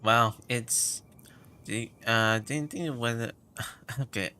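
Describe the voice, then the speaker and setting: A man livestreaming a game with casual commentary, hesitant and halting, mumbling at times as he gathers his words. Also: mid-range voice, pushed and bright, slight background noise.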